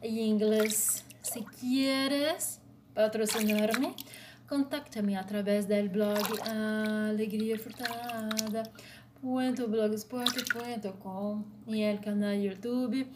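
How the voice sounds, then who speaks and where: A woman with a Brazilian Portuguese accent reads her piece aloud in short, even phrases, keeping her voice flat. She moves slowly and deliberately from one phrase to the next. A steady hiss of noise sits under the recording. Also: medium pitch. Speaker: blogger. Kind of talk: read-aloud commentary.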